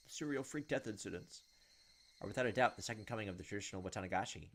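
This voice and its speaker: A man's voice: lowish voice, racing, fairly bright tone, slight background noise. A young man hosting a gaming video, reading game text aloud and adding casual commentary.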